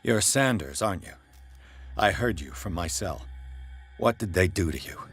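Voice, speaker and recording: A man's voice: deep voice, animated, moderate pace, fairly bright tone, husky, soft background music, exaggerated voice. A man playing a tense, bewildered investigator on the run in a video game, speaking in a terse, urgent, questioning manner. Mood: probing, disbelieving. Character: tense, bewildered male investigator escaping captivity, pressing for answers. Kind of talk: dramatic video game voice acting.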